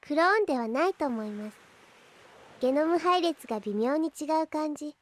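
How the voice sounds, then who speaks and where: High, childlike little android girl. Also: lively intonation, normal speed. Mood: thoughtful. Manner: childlike, polite, careful. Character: polite little android girl, earnest and sweet. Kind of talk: Japanese anime-style audio drama acting.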